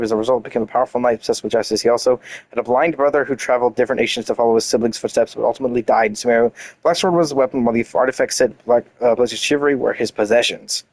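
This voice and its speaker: Male gamer, mid-pitched voice, reading lore aloud with casual, enthusiastic commentary. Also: a little mellow. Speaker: gaming content creator.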